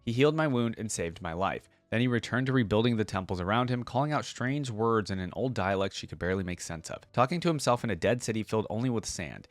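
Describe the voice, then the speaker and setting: A man's voice: on the low side, expressive, quick pace, few pauses, no room sound. A man hosting a reaction video, reading stories aloud and commenting in a laid-back, colloquial fan style. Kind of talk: casual commentary and story reading.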